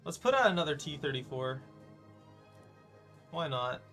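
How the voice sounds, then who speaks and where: Young man, gaming streamer, playful and teasing, higher pitch, bright tone. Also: sing-song, normal speed, soft background music. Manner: playful, teasing, loud exclamations. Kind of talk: live gameplay commentary.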